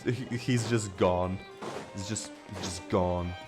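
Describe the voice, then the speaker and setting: A man's voice: low-pitched, fairly even intonation, slow. A man giving casual playthrough commentary and reading game text aloud. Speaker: gamer playing through a story. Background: game music.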